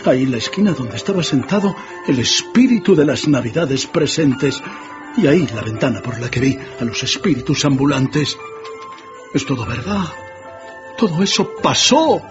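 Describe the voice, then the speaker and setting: A man acts out a repentant old miser in a radio drama. The voice is emotional and exclamatory, swinging widely in pitch. The recording is dull, with music clearly underneath. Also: animated, normal speed, close to an everyday voice. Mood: giddy joy. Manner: exclamatory, emotional, repeats words. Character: repentant old male miser, excitable and fervent. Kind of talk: theatrical radio drama acting. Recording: dull band-limited audio, music underneath.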